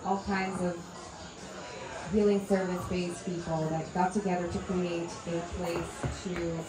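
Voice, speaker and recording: A young woman from Brazil with a Brazilian Portuguese accent, telling personal stories and asking questions as she leads a workshop circle. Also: mid-range voice. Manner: conversational, personal storytelling with audience questions. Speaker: integration coach and workshop facilitator. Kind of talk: talk to a live workshop circle. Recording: echoey room with loud crowd. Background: loud crowd noise.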